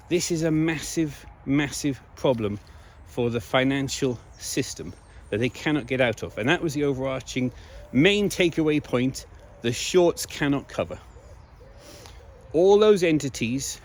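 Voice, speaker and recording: A slightly hoarse man speaking in a casual, emphatic monologue to viewers, repeating key phrases for stress. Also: medium pitch. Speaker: video blogger.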